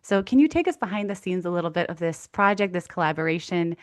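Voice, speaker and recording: Smooth low-voiced woman hosting. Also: animated, fast, no room sound, studio quality. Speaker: event host. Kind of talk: hosting an online event.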